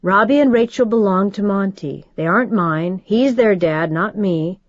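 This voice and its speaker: A woman voicing a middle-aged man who speaks softly and reassuringly. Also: medium pitch, normal speed, dry recording, lightly acted. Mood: soothing. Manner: soft, crooning, reassuring. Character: middle-aged man, gentle and soothing father figure. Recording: dull, slightly muffled dry recording.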